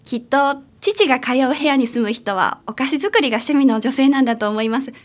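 A woman plays a troubled daughter confiding in a detective in a radio drama. Her voice is high and sincere. She speaks politely, like a client explaining a family worry. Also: a little fast, natural voice. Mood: earnest confiding.

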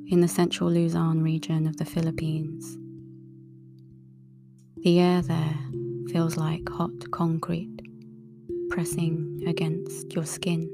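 A woman with a low, gravelly, relaxing voice, talking in an unhurried, half-whispered monologue. Her pitch barely moves. Guitar music plays clearly underneath. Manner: slow, calm, breathy half-whispered delivery. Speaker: tarot reader and writer. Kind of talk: soft-spoken monologue. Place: outdoors in a wooded area.

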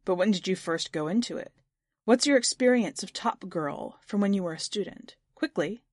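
A woman reading the part of an eccentric, excitable man in an audiobook story, declaiming and firing probing questions. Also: medium pitch, normal speed, no echo, studio quality, natural voice. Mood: urgent, probing.

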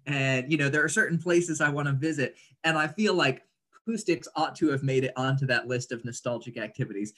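A man, talking reflectively as an interview guest, articulate and often pausing mid-sentence to pick his words. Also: mid-range voice, bright, forceful tone. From Indonesia, grew up there as an American third culture kid. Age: 30s. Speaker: interview guest, writer and speaker.

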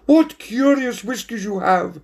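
A man's voice: sits high, expressive, a little slow, vocal fry, a little mellow, pitched above the performer's own voice, exaggerated voice. A man voicing haughty, imperious posh lady cats in a storybook, bellowing their lines. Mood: mocking. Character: haughty, shrill posh lady cat customers.

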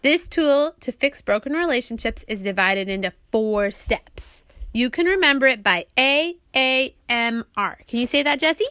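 A woman narrating an audiobook she wrote, pausing to prompt and question as she teaches a child. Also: high voice, expressive, sharp tone, clear, resonant tone, dry recording. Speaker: author reading own book aloud and parent.

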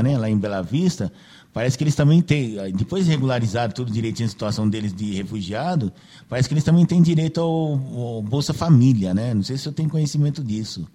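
Chatty radio host with a mellow voice. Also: male voice, medium pitch. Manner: chatty, filler-heavy, seeks agreement with "né". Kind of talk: casual radio chat.

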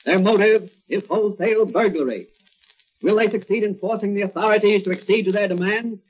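A man's voice: high-pitched, slightly rough. A man announcing a 1940s radio drama in a portentous, declamatory style, on a muffled old recording. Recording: muffled old radio broadcast with music bed.

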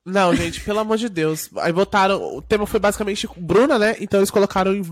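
A man's voice: high-pitched, fairly even intonation, fast talker, no echo, studio quality. A young man with a Brazilian Portuguese accent co-hosting a casual podcast, stuffy-nosed from a cold and joking and swearing freely. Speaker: podcast co-host. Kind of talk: casual chat.

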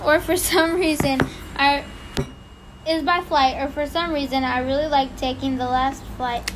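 High-voiced woman, halting casual reader. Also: steady tone, noisy background. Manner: halting, apologetic, laughs at her own slips. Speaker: podcast co-host reading a story. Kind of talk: casual chat and stumbling reading aloud.

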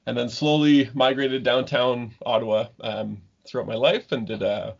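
A man in his thirties from Ontario, a guest scientist talking casually in an interview, halting with many ums and restarts. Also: medium pitch, fairly even intonation, creaky. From Ontario, Canada. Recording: dull lo-fi remote call audio.

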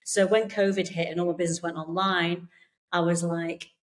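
A British woman from the UK, a therapist guest chatting on a podcast over a remote call, warm and reassuring with many fillers and restarts. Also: on the low side, level tone, normal speed. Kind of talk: casual interview chat.

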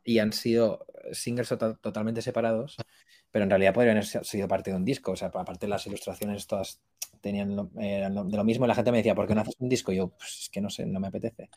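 A young man with a slightly low voice talks casually as a guest in an interview. He thinks aloud in a halting, colloquial way, often rewording his phrases as he goes. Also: flat delivery, a little mellow, a little hiss or hum. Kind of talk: casual chat.

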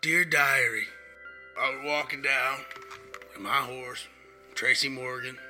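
A hoarse man voicing a rough Southern outlaw cowboy, drawling through a rambling diary confession. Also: mid-range voice, fairly even intonation, takes it slowly, sharp tone, faint music behind. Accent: put-on American Southern.

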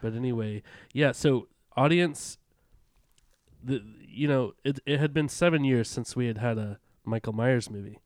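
A man getting over a cold, his voice a bit raspy, rambling through a casual movie chat. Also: medium pitch, normal speed, darkish tone, no room sound, clean studio sound. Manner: rambling, self-interrupting, jokey. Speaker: podcast co-host.

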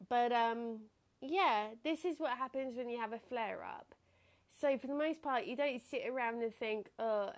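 A young woman hosting her own podcast, talking in a casual, reflective way with a slightly nasal, stuffed-up voice and frequent laughs. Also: fairly high pitch. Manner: chatty, reflective, laughs often. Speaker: podcast host. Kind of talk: casual solo podcast talk.

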